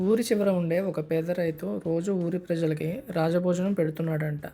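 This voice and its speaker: A woman's voice: low-pitched, fairly even intonation, a little fast, dark tone. A woman voicing a loyal male royal minister in a cartoon, formal and respectful. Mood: puzzled, reporting. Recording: music under the voice.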